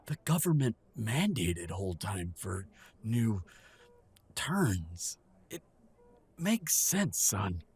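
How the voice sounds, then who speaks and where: Audio drama father male actor: earnest, hesitant, middle-aged, heavily raspy, animated pitch. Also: extremely slow, long pauses, full character voice. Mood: indignant, defensive. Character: aging defensive father of a grown werewolf son. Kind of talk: audio roleplay drama acting.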